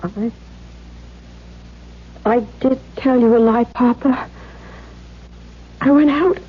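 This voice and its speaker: An actress playing a frightened, submissive young daughter in a 1970s radio drama, timid, hesitant and stammering. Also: female voice, a little high, takes it slowly, breathy edge. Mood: hesitant, lying. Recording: dull 1970s radio recording.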